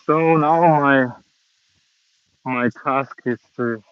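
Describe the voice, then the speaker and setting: Man vlogging on a walk: slow, halting learner English, dull dry phone audio. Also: mid-range voice, clean tone, no room sound. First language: Russian. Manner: slow, hesitant learner English with many pauses. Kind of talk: casual walking vlog monologue. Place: outdoors, walking around a city.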